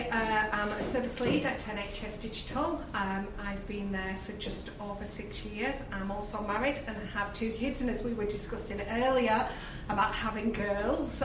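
A woman's voice: medium pitch, background noise. Lancashire woman on a live panel. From Lancashire, England. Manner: chatty, many fillers and hesitations. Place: event venue with live audience. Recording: roomy, distant, live-event ambience.

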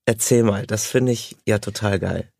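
A man's voice: lowish voice, normal speed, creaky, darkish tone, dry recording, studio quality. A man hosting a casual interview, asking questions in an informal, curious way. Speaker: interviewer, host.

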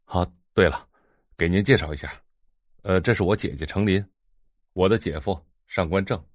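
A male actor plays a courteous younger brother in an audio drama. The voice sits very low, with a husky grain and a close, dry sound, and the manner is respectful and deferential. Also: normal speed, no echo. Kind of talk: Chinese audiobook drama dialogue.

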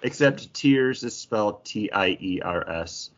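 A man's voice: fairly low pitch, animated, measured pace, fairly bright tone, lo-fi sound. A man co-hosting a podcast in casual, conversational talk. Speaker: podcast co-host.

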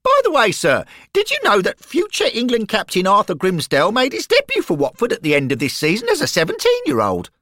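A man voicing an eager, pedantic ghost schoolboy with a high treble voice who never stops reciting facts. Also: huge pitch swings, brisk, hardly any pauses, pushed and bright, dry recording, studio quality, heavily acted. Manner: fact-reciting, polite, keeps saying sir. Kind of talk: audiobook character voice.

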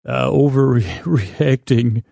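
An American man with a creaky voice hosting a bedtime podcast, rambling in a halting, meandering way with stammered false starts and self-interruptions. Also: mid-range voice, warm tone, dry recording, clean studio sound. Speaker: podcast host. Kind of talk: rambling bedtime monologue to help listeners sleep.

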